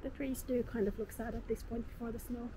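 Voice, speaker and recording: A woman's voice: a little high, steady tone. Chatty woman vlogging outdoors in traffic. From Finland. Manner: chatty, unhurried, frequent "uh" fillers. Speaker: walking-tour vlogger. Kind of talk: casual narration while walking. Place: outdoors on city streets. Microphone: camera's built-in mic. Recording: street noise and passing traffic.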